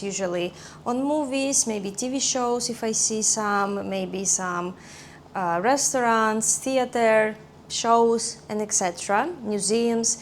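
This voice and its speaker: A woman's voice: medium pitch, slight background noise. A young Greek woman with a Greek accent, chatting casually to camera in a vlog and thinking aloud with little restarts. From Greece. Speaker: vlogger.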